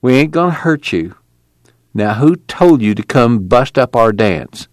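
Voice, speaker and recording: A man doing a calm earnest camp leader. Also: mid-range voice, unhurried, no echo, voice pushed up, lightly acted. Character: patient middle-aged male camp leader.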